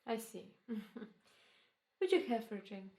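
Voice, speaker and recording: Woman as sinister doctor, fairly high voice, courteous, measured, amused, radio horror drama. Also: sing-song, a little mellow, exaggerated voice. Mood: cool, polite. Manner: polite, measured, knowingly amused. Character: suave, sinister, courteous doctor scheming over murder. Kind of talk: old-time radio drama acting.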